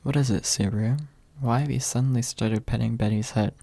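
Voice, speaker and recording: Man reading as a haughty little storybook girl, prim, grumbling yet fond, mellow, husky tone. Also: medium pitch, steady tone, brisk, dry recording. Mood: puzzled. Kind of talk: ASMR audiobook character voice.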